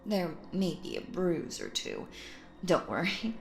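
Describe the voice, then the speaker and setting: A woman acting out a sinister, possessive stage magician in an intimate audio roleplay, cooing endearments theatrically and slipping into breathy, menacing whispers. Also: fairly low pitch, very animated, unhurried, roomy sound, noisy background, full character voice. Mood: tender, coaxing.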